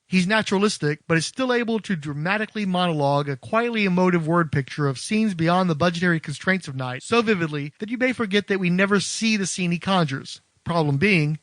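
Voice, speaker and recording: A man with a notably high voice narrates a scripted film retrospective as a podcast host. His phrasing is wordy and literate, and the recording is close and dry. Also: fairly bright tone, no room sound.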